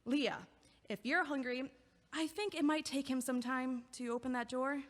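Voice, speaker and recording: An actress playing a friendly, chatty neighbor who is a physical therapist, talking in a warm, easygoing way in an audio drama. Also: female voice, high voice, steady tone, moderate pace, long reverb tail, close to an everyday voice.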